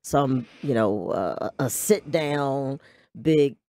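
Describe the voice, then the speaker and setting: Woman in her 50s on a radio show, really low voice, slow and hesitant, dry mic sound. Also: expressive, warm tone, no room sound. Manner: hesitant, halting. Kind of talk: radio interview chat. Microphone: studio mic.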